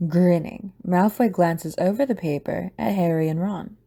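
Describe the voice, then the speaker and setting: A woman's voice: fairly low pitch, normal speed, vocal fry, mellow. A woman narrating a scripted audio drama.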